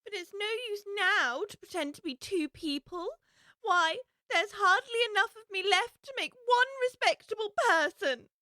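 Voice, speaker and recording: A woman's voice: very high-pitched, normal speed, sharp tone, no echo. A woman playing a curious, prim young girl in an audiobook, talking earnestly and expressively to herself. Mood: determined. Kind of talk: full-cast audiobook acting.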